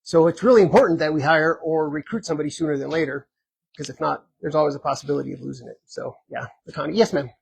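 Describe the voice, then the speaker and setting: A man running for sheriff, answering audience questions at a community meet and greet in an earnest, conversational way, hoarse and full of filler ums. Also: fairly high pitch, fast, poor audio. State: hoarse, losing his voice. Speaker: sheriff candidate.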